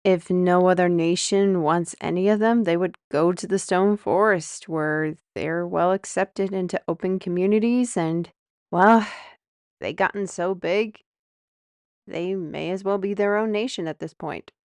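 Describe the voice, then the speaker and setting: A woman's voice: fairly low pitch, measured pace, a little mellow, clean tone, dry recording, studio quality. A woman playing a blunt, wry young noblewoman with an impatient manner.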